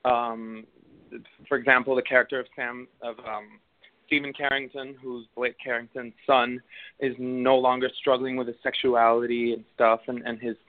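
A man's voice: mid-range voice, little pitch movement, normal speed. A man in his thirties from Venezuela, with a Venezuelan Spanish accent, answering interview questions in a hesitant way with many fillers and restarts. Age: 30s. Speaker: actor guest. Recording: tinny phone line.

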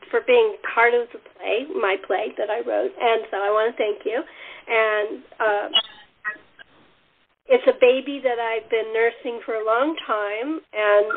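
A woman hosting a casual cast interview, speaking effusively and with emphasis over a phone line. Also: fairly high pitch. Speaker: host and playwright.

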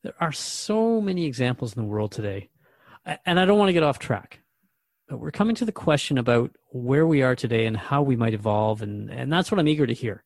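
A man's voice: medium pitch, expressive, a little fast, dry recording, clean studio sound. A man acting as a radio host in a drama, asking questions in an earnest, interviewing manner.

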